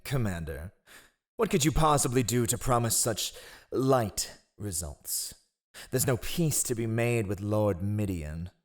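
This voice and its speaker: Man playing a sly, sardonic fantasy spymaster, animated delivery. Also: fairly low pitch, measured pace. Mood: cold, dismissive. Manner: sly, mocking, theatrically deferential. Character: cunning, ruthless male royal spymaster, smoothly sardonic. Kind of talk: fantasy audio roleplay acting.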